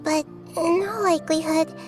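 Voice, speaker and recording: A woman voicing a small girl deity in a video game, childlike, calm, gentle and thoughtful. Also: very high voice, music in the background. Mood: serious, gentle. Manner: thoughtful, measured, speaks in analogies.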